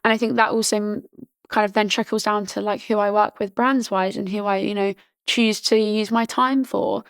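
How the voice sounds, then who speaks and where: A woman in her early twenties from Britain, chatting casually as a podcast guest, full of "like" fillers and restarts, her voice slightly hoarse. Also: medium pitch, fairly even intonation, moderate pace, no echo. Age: early 20s. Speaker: podcast guest, charity founder. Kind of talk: casual interview chat.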